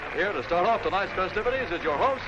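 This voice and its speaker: A man's voice: high voice, animated, fast, very noisy. A man announcing a 1950s television game show from offstage, on an old broadcast soundtrack. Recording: muffled vintage TV soundtrack, loud music.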